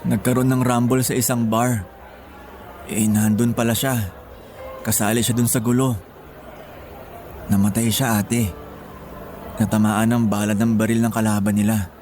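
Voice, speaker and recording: A man's voice: on the low side, level tone, normal speed, pauses often, a little mellow, natural voice. A man acting in a Filipino radio drama as a grateful, protective younger brother with an earnest delivery. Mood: grave. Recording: music behind the voice.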